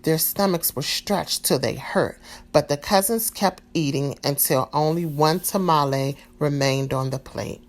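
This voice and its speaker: A woman reading a children's storybook aloud in a calm, expressive way. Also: sits low, measured pace. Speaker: storybook reader.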